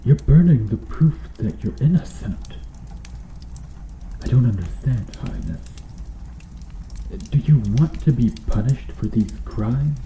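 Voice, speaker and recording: A man playing a stern medieval knight in an ASMR roleplay, questioning formally and earnestly with dramatic pauses. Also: mid-range voice, expressive, warm tone, roomy sound, a little hiss or hum, low-quality recording. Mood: alarmed, bewildered. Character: stern medieval knight interrogating an accused noble witch.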